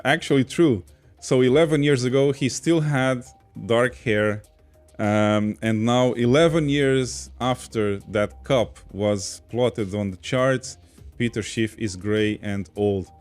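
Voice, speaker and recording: A man from Portugal with a Portuguese accent, casually hosting a livestream and reading viewer comments aloud. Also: mid-range voice. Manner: chatty, reads viewer comments aloud, laughs easily. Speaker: podcast host reading chat.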